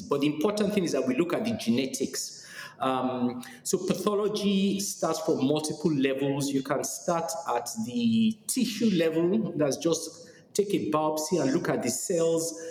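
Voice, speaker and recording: A middle-aged man with a Nigerian accent and a fairly high voice speaks in a measured, explanatory way, stopping often on "um" and "uh". His voice sits in a roomy, echoey space with a long reverb tail and a faint hiss underneath. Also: a little mellow. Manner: measured, explanatory, with frequent "um" and "uh" pauses. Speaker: guest. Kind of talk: podcast interview.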